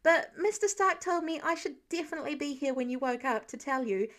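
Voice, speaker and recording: A woman voicing an excitable, awkward teenage boy superhero who rambles eagerly in an audiobook-style story. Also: high-pitched, fairly quick, voice pushed up. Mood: nervous, eager.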